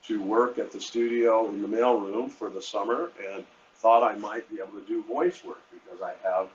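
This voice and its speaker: An older man with a deep voice telling rambling, halting anecdotes in a casual interview, often quoting conversations. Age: 60s or older. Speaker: artist being interviewed. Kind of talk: casual storytelling at a live event. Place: hotel event space. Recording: muffled lo-fi live stream, noisy room.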